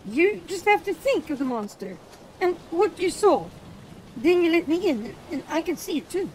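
An eager, earnest young boy speaks in a high, light voice that bounces up and down, put on by a male narrator. It is a storybook audiobook read over music, with a faint hiss behind. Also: sing-song, normal speed, poor audio. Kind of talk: audiobook character voice.

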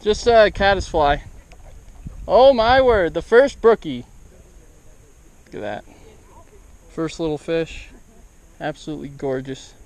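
A man's voice: a little high, animated, slow pace, pauses often. A young man filming himself fishing at a mountain lake on a body-worn action camera, chatting enthusiastically about his catch. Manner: enthusiastic, upbeat, gushing over the catch. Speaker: fishing vlogger and scout troop leader. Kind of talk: casual outdoor fishing commentary. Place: outdoors at a mountain lake shore.

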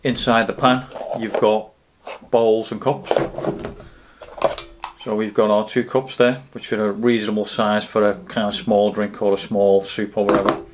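A man reviews gear in a casual, explanatory way. His voice is fairly low and keeps to a very even pitch. There is faint noise behind him as he talks straight to the camera. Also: normal speed. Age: 30s to 40s. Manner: matter-of-fact, explanatory.

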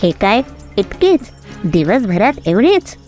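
A woman's voice: mid-range voice, sing-song, slow, darkish tone, faint music behind. A woman voicing a cruel, greedy older mother-in-law who scolds and accuses, in a cartoon story. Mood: suspicious. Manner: scolding, suspicious.

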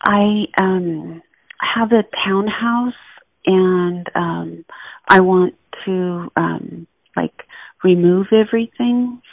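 A woman calling as a customer, speaking haltingly and hesitantly over the phone. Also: fairly low pitch, vocal fry, no echo. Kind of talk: phone enquiry. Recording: tinny narrow phone line.

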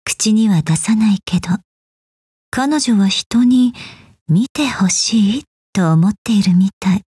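A woman playing a serene, elegant keeper of memories in a video game, speaking softly with a poised, mysterious manner. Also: medium pitch, slow pace, no echo, clean studio sound. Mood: intrigued. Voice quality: soft, breathy. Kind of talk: scripted game voice lines.

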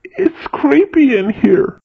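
A woman's voice: high-pitched, sing-song, slow, gravelly, narrow, tinny audio, pitched above the performer's own voice, heavily acted. A woman voicing an anxious, urgent male creature in a children's story. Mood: urgent, scared. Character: anxious male fuzzbuzzer, high-voiced and urgent.

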